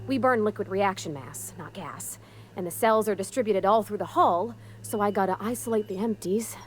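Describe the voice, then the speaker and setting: A woman's voice: medium pitch, moderate pace, a little hiss or hum, lightly acted. A woman playing a cheerful, modest young spaceship mechanic with a folksy manner in a video game. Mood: focused, uncertain. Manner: folksy, hesitant, homespun turns of phrase. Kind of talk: video game character acting.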